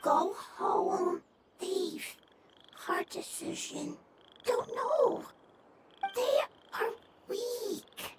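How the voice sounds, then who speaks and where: An actor voicing a simple-spoken, worried, protective forest creature in a fantasy game, talking in halting, broken short phrases. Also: very high voice, lively intonation, far from the mic, heavy background noise, full character voice. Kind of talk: animated fantasy game dialogue.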